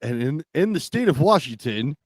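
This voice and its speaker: A man's voice: mid-range voice, sing-song, moderate pace, darkish tone, no room sound. A man co-hosting a talk show, chatting casually and giving opinions in a blunt, profane way. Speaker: talk radio co-host.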